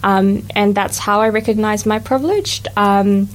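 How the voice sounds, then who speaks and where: A woman's voice: medium pitch, normal speed, rough recording. Young woman hosting a chatty radio show. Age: young adult. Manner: reflective, chatty, lots of agreeing "yeah". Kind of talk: casual radio chat. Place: radio studio.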